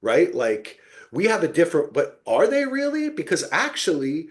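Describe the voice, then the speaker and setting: A male podcast host chats casually about television with lively, swinging intonation. He rambles and restarts, checks in for agreement and swears freely. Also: on the high side. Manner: rambling, halting, checks in with "right?" and "you know".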